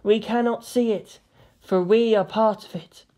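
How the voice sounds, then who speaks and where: A haughty alien seeress from a sci-fi audio story, played by a woman. She speaks imperiously in a raised pitch, scolding like someone far above her listener. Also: steady tone, unhurried, dark tone, lightly acted. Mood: solemn. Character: haughty, indignant female alien Farseer figurine.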